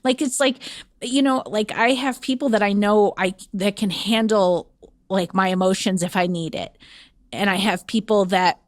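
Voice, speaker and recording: A woman in her fifties talks loosely and warmly off the cuff, full of fillers and self-deprecating asides. Her voice sits at an ordinary middle pitch. The sound is dry and close, with no room around it. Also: moderate pace, no room sound. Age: 50s. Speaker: podcast host. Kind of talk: casual advice podcast chat.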